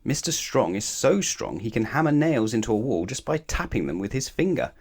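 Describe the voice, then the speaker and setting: A man reading a children's story aloud in an expressive, animated way, with emphatic phrasing aimed at the listener. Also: medium pitch, fairly quick. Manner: animated, asks the listener questions, emphatic repetition.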